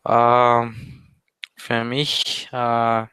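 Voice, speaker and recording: Teenage guy, slow, flat. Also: mid-range voice, clear, resonant tone, no echo. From Mexico. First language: Spanish. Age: teens. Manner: hesitant, many pauses and fillers. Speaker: interview guest, language learner. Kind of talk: casual interview chat.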